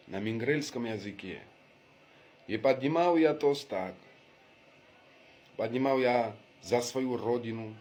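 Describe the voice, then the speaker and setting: Earnest man pleading directly. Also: mid-range voice. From Abkhazia. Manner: earnest, emphatic, repeats himself. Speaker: video blogger making a public apology. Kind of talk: direct-to-camera personal appeal.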